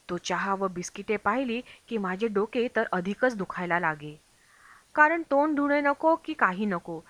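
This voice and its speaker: A woman's voice: on the high side, bright, forceful tone. A woman reads a travel memoir as an audiobook. She narrates from a script, and the sound is very dry, with no room echo. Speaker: audiobook reader.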